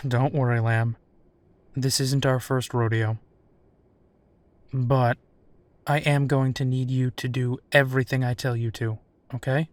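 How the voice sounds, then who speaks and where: A man playing a protective, worried but caring werewolf detective in an intimate audio roleplay, speaking in a reassuring way with pauses. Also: medium pitch, little pitch movement, dry recording, natural voice.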